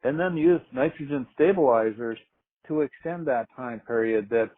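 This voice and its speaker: A man's voice comes over a narrow, phone-like conference line. He speaks at an average pitch in an explanatory business style, measured and deliberate, often setting out points as questions. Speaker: agricultural marketing manager presenting on a conference call. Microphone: telephone conference call.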